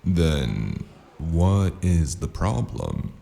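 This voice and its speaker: A man acting as a hard-boiled detective with an extremely low, creaky voice. He is worn out and laconic, delivering deadpan noir lines in a dark, mellow tone for an audio drama. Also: drawn-out words, slight background noise, heavily acted. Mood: puzzled. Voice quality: gravelly. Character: weary wounded noir detective, gruff, dry-witted, narrating his case.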